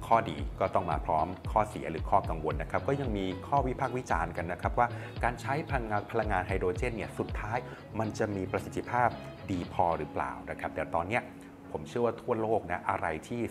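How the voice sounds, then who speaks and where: A man, presenting a news report in a conversational, explanatory way on a lapel mic in a studio. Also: low voice. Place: small indoor studio at a desk. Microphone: clip-on lapel mic. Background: background music.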